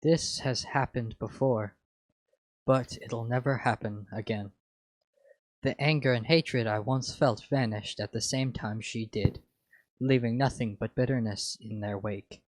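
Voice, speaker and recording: A man reading as a slow, contemptuous female AI. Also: mid-range voice, close to an everyday voice. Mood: bitter. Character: bitter, contemptuous female artificial intelligence left alone. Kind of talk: dramatic fan fiction reading.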